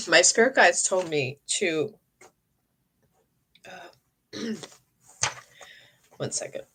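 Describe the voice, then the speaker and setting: Woman with a cold, middle-aged, lowish voice, rambling live-stream chat, throat-clearing. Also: wildly swooping pitch, drawn-out words, long pauses, heavy background noise. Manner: meandering, breaks off, clears her throat often. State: has a cold, losing her voice. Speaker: psychic medium streaming live. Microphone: phone or webcam for a live stream.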